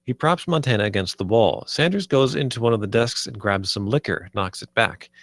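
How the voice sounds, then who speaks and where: A man's voice: on the low side, a little fast, dry recording. A man reading stage directions as narrator at a screenplay table read.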